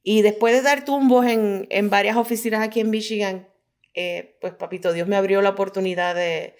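A woman's voice: mid-range voice, fairly even intonation, moderate pace, darkish tone. A middle-aged woman with a Puerto Rican accent, telling her story conversationally as an interview guest and mixing in English phrases. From Puerto Rico. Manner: mixes English phrases into Spanish. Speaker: guest, pediatric dental hygienist.

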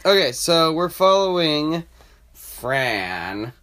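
A man's voice: on the high side, big pitch swings, drawn-out words, a bit bright. A man hosting a podcast, chatting loosely and rambling after a few drinks. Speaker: podcast host. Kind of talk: casual chat.

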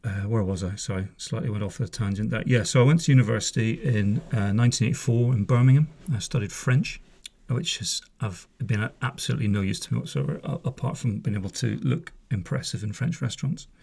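A man's voice: on the low side, a little fast, vocal fry, darkish tone, breathy edge. A man in his fifties from Northern Ireland, a former police officer hosting a podcast, talking casually and wryly with frequent asides. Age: 50s. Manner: chatty, wry, wanders off on asides. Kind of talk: casual spoken monologue. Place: home room.

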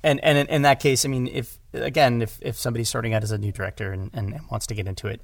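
A man talking casually as an audio drama director, animated and rambling, repeating words for emphasis and joking along. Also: mid-range voice, rapid-fire, gravelly, no echo, clean studio sound. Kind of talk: casual conversational discussion.

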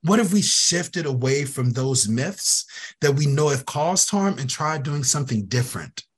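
A man's voice: a little high, lively intonation, a little slow, husky. A man speaking as an interview guest, earnest and emphatic, repeating phrases to drive his point home. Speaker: guest, youth program director. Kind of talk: conversational interview.

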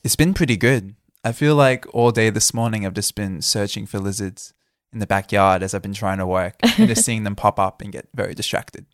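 Relaxed man, brief replies. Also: lowish voice, brisk, no echo, clean studio sound. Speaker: podcast producer and co-host. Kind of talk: casual podcast chat.

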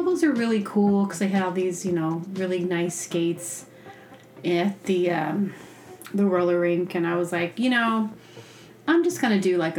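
Female podcast host, lowish pitch, informal rambling delivery, playful and conversational. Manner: playful, informal, frequent "like" and "you know". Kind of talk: rambling casual solo chat.

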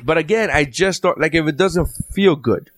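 A man's voice: fairly high pitch, expressive, moderate pace, fairly bright tone, no echo, clean studio sound. A young man in casual chat, rambling and swearing as he retells stories about his dad.

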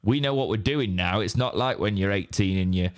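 An English man with a deep, raspy, hoarse voice from straining it, rambling in casual podcast chat. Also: a little fast. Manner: rambling, restarts mid-sentence. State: hoarse after straining his voice. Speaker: podcast co-host.

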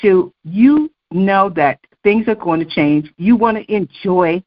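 A woman's voice: mid-range voice, takes it slowly, muffled recording. A woman hosting a podcast, affirming her guest and reading out points to her listeners. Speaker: podcast host. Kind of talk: casual podcast talk.

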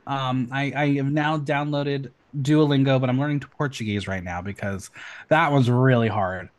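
A man hosting a casual podcast, chatty and excitable, rattling off news with lots of ums. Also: mid-range voice, normal speed. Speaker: podcast host.

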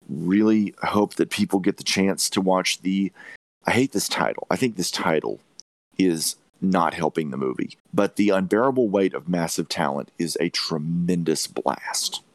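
A low-pitched man speaks as a podcast host in a close, dry recording. He shares opinionated takes on films, leaning on repetition and emphasis to drive his points home. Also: creaky, no echo. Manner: emphatic, repeats phrases for stress. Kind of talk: opinionated movie review chat.

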